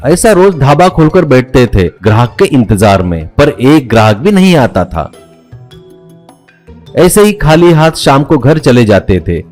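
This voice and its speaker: A man narrates a cartoon tale from a script. His pitch moves in a lively way as he tells the story. Faint music plays underneath. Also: unhurried.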